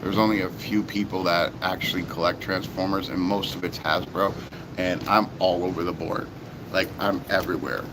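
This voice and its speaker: Hoarse low-voiced man rambling casually. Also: level tone, normal speed, noisy background. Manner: rambling, repeats himself, many fillers. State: hoarse, sore voice. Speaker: toy reviewer. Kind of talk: casual vlog chat.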